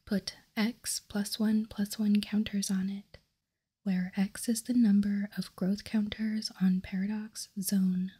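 A woman whispering softly and calmly in an ASMR style, explaining and reading out text as a host, close to the microphone. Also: medium pitch, slightly rough. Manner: soft, unhurried, calm explaining. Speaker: host of card-game ASMR channel.

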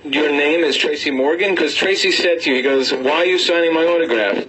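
A man on a show's staff chatting casually in a studio, halting and hesitant as he explains. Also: fairly high pitch, fairly bright tone, a little hiss or hum, poor audio. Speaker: show staff videographer.

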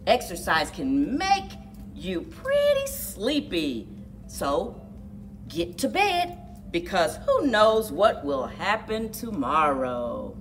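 Very animated woman reading to children, unhurried. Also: medium pitch, far from the mic, slight background noise. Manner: exaggerated, drawn-out storyteller delivery for young children. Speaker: children's librarian, story time host. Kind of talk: expressive picture-book read-aloud and leading action activity for children. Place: indoors, children's library room.

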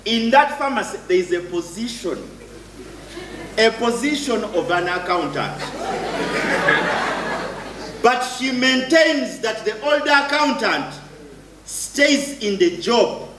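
Aggrieved Ugandan husband, high and sharp. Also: male voice, slight background noise, exaggerated voice. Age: middle-aged. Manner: repetitive, emphatic, indignant. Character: jealous unemployed husband, aggrieved and insistent. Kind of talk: live stage comedy acting. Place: theatre stage. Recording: distant echoey stage recording, audience behind.

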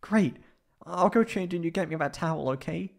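A man voicing a shy, flustered young tsundere catboy in a high put-on voice, halting and stammering in a roleplay audio drama. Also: gravelly. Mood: shy, eager. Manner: halting, stammering, pleading and defensive.

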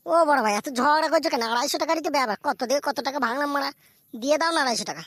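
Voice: high voice, rapid-fire, a bit bright. A performer voicing a cheeky, meddling, foul-mouthed wedding companion in a cartoon, thin-voiced. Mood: coaxing. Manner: meddling, teasing, crude. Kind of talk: amateur comedy cartoon voice acting.

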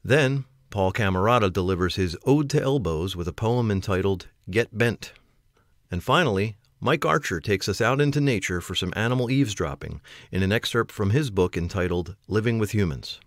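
Deep-ish guy hosting a podcast, upbeat, kicks off the episode. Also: animated, moderate pace, no echo, clean studio sound. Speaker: podcast host. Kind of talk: show introduction.

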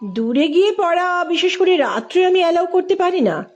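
A woman's voice: very high-pitched, lively intonation, moderate pace, dull audio, soft background music, voice pushed up. A woman voicing a stern, easily irritated female hostel superintendent in a story, curt and scolding. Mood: firm.

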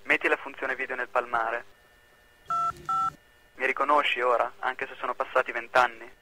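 A man playing a secretive, commanding former prisoner in an amateur radio drama, calm and terse. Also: mid-range voice, moderate pace, rough recording.

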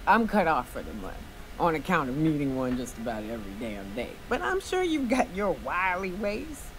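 Brash boozy guide, lively pitch. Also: female voice, moderate pace, noisy background. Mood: blunt, wheedling. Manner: blunt, muddled, folksy. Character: hard-drinking, brash woman guide for hire. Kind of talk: video game character acting.

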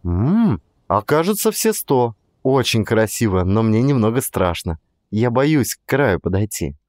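A man voicing a playful, tender young woman with expressive, emotional delivery in an audiobook. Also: medium pitch, measured pace, no echo, clean studio sound. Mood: awed, timid. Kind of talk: audiobook character dialogue.

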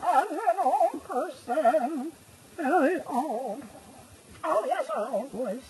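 A woman's voice: sits high, animated, slow, pitched above the performer's own voice. A woman voicing a very old little person on a noisy home webcam recording. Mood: slow, aged.

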